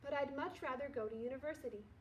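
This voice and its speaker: Casting: polite, earnest storybook girl, played by a woman, high voice, respectful, some background noise. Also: quick pace, natural voice. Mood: determined. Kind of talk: children's storybook read-aloud.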